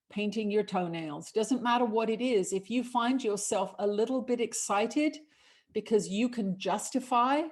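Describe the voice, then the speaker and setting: A middle-aged woman, a spiritual channeler hosting a show, giving calm, measured guidance as a collective "we" and chatting with callers. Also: mid-range voice.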